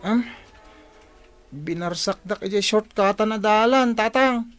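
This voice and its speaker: A woman's voice: medium pitch, unhurried, soft background music, close to an everyday voice. A woman with an Ilocano accent voicing a stubborn, hot-headed village schoolboy in a radio comedy drama. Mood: defiant, vengeful.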